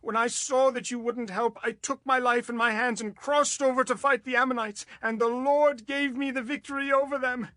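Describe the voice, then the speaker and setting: An actor playing a proud, forceful Israelite warrior leader, declaiming with passion in a dramatized audio Bible. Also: male voice, extremely high pitch, steady tone, moderate pace, few pauses, dry recording. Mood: indignant. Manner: declamatory, impassioned.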